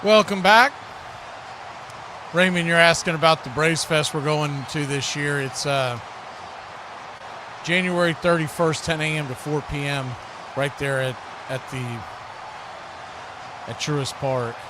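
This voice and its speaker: A man's voice: a little high, fairly even intonation, unhurried, long pauses, noisy background. An American man working as a sports host and play-by-play commentator on a live football watch party.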